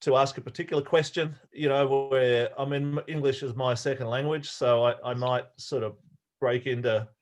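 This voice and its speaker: A man's voice: mid-range voice, fairly even intonation, moderate pace, darkish tone. Easygoing Australian man, rambling. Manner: easygoing, rambling, many restarts. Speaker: guest speaker. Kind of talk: informal talk to a club.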